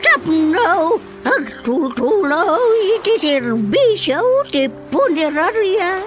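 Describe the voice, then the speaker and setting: A chatty mother with a third eye, speaking in a very high, swooping sing-song voice. She draws her words out slowly and dramatically. Music plays under this radio comedy. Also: sing-song, heavily acted. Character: middle-aged mother who sees with a third eye.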